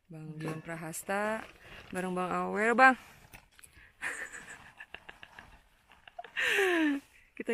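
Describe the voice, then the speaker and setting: A woman's voice: medium pitch, fairly bright tone, a little hiss or hum. A woman, chatting casually and laughing often as she vlogs a mountain hike outdoors on a phone held at arm's length. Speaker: hiking vlogger. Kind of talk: casual vlog narration. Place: open mountain savanna outdoors. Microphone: phone camera at arm's length.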